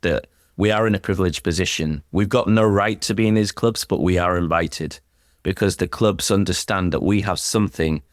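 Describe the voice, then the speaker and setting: Guy with a deep voice being interviewed, earnest n thoughtful, explains stuff carefully, really dry sound. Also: dry recording. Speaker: sports chaplain, interview guest. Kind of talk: earnest, reflective interview talk.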